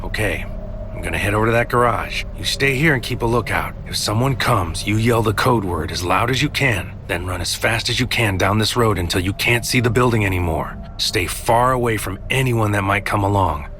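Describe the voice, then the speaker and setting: An actor playing a brooding, anxious infiltrator, narrating a surreal sci-fi audio drama in terse, deliberate, poetic phrases. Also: male voice, lowish voice, bright, forceful tone, slight background noise. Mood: urgent, protective. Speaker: narrator and lead character. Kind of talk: scripted first-person noir sci-fi audio drama narration. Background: music under the voice.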